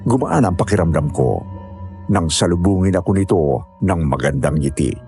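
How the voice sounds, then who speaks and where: A man with a husky voice reading scripted first-person narration for a horror story. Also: sits low, sing-song, moderate pace, warm tone, faint music behind.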